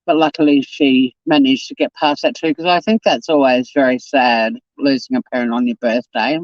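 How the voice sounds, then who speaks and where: A man's voice: medium pitch, steady tone, moderate pace, no echo, dull audio. Chatty man in his fifties. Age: 50s. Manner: chatty, reads viewer comments aloud and answers them. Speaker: live stream host. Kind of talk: casual live stream chat. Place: home.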